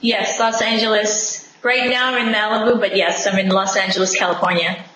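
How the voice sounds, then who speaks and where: A young woman from Singapore talking as an interview guest over a video call from her living room, enthusiastic and expressive. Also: mid-range voice, normal speed. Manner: enthusiastic, expressive, laughs often. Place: home living room, indoors. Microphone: video call webcam. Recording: echoey room, faint background noise.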